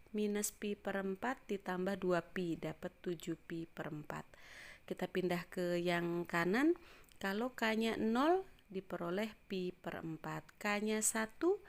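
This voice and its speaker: Low-pitched woman teaching step by step. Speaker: math teacher. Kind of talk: step-by-step math tutorial narration.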